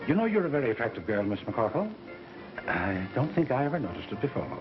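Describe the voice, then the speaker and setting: An actor playing a self-important, flirtatious sponsor in 1930s film style, smooth, fussy and wheedling. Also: male voice, mid-range voice, sing-song, fast talker, close to an everyday voice. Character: oily, flirtatious, self-important male sponsor, a would-be Casanova. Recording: muffled 1930s film soundtrack with music.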